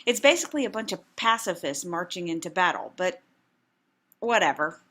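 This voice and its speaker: A woman's voice: fairly low pitch, lively intonation, normal speed, bright, forceful tone. A woman voicing a skeptical, irreverent woman who delivers dry, mocking commentary. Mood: sarcastic.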